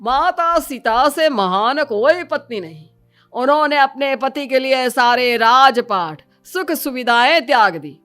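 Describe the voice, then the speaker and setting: Casting: female reader playing a pious village priest, preachy and measured, high-pitched, for a cartoon story. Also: animated, a bit bright, faint music behind, exaggerated voice. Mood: solemn, preaching.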